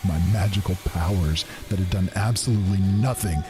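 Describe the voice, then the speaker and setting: A man narrating a horror story with slow, dramatic intensity. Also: low-pitched, over music.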